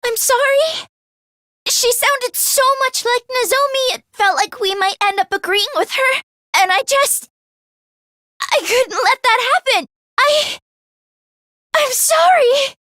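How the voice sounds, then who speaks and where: A woman voice acting an earnest, anxious teenage schoolgirl in an English video game, with expressive, emotionally heightened delivery. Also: very high-pitched, takes it slowly, long pauses, no echo, studio quality, heavily acted. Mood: tearful, apologetic.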